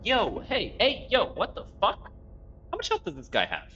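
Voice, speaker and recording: A man's voice: high-pitched, wildly swooping pitch, normal speed, dull audio, slight background noise. A man from Portugal with a South African-tinged accent, streaming a game and chatting casually, with profane outbursts. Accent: South African-tinged English. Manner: casual and profane, sudden loud yelps of surprise. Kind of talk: live gameplay commentary, reading chat.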